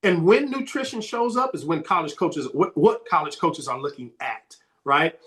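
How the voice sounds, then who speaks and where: A man answering as a sports recruiting coach on a podcast, emphatic and repeating his key points, his voice slightly hoarse. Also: a little high, lively intonation, normal speed, a bit bright.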